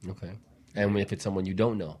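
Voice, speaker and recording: A man's voice: deep voice, steady tone, fast talker, background noise. A man co-hosting a radio talk show in a casual, conversational style.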